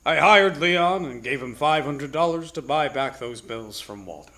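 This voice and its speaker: Casting: male actor as cagey moneyed gentleman for radio drama, slightly high pitch, animated swings, guarded clipped manner. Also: moderate pace. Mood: guarded, bargaining. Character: wealthy married businessman, guarded, wary of scandal. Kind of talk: live 1940s-style radio drama acting.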